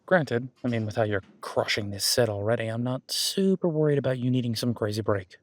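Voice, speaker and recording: A man's voice: lowish voice, husky, no echo. A man playing a friendly, easygoing werewolf boyfriend who coaches his date at the gym in a casual, encouraging, rambling roleplay audio. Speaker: gym partner giving workout guidance. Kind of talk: roleplay audio drama, one-sided casual conversation.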